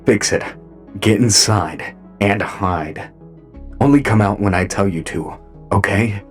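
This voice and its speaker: Guy doing robot captain, kinda deep, steady, in charge, audio drama. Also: slow, soft background music. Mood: firm. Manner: authoritative, controlled. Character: steady, protective robot commander of the crew.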